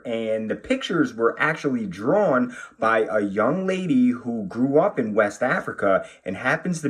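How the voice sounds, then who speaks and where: A man, a police officer, talking and reading to children in an expressive way, addressing them directly. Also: mid-range voice, breathy edge. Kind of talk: children's read-aloud and talk to pupils.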